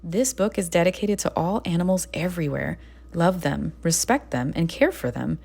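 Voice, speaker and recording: Expressive woman narrating a children's story. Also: on the low side, moderate pace, gravelly, slightly rough. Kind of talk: scripted children's read-aloud narration.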